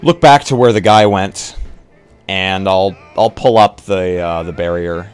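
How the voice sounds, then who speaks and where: A man taking part as a player in an improvised roleplay, speaking casually in a hesitant, halting way. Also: deep voice, clean tone. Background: music.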